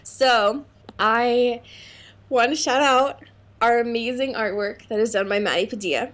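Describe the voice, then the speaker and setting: A woman's voice: fairly high pitch, a little slow, a bit bright. A young adult woman co-hosting a podcast in casual, comedic chat, with a jokey, playful, slangy manner and easy swearing. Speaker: podcast co-host.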